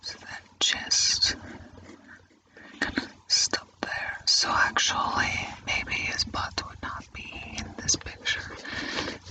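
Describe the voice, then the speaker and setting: A man whispering an unhurried, musing ASMR commentary as he draws. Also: bright, forceful tone, rough recording. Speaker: ASMR artist, host.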